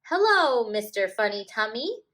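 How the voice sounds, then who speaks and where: A woman voicing excited young children at play in a storybook, exclaiming loudly and eagerly. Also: fairly high pitch, unhurried.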